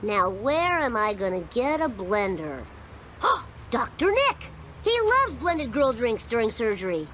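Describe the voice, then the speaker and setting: A woman voicing a mischievous ten-year-old boy in a cartoon game, raspy, brash and wisecracking. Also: a little high, big pitch swings, a little slow, background noise. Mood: cocky, brash.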